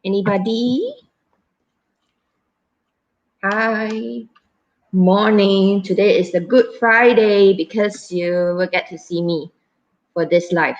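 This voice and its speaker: A woman in her thirties with a Malaysian English accent, moderating a casual live stream in a chatty, halting way with many fillers and restarts. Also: medium pitch, highs cut off.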